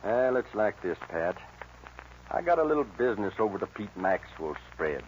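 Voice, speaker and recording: An actor playing a cocky young American outlaw gunfighter, laconic and folksy, in a 1940s radio western. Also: male voice, fairly low pitch, big pitch swings, normal speed. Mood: evasive, casual. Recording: dull, hissy old radio recording.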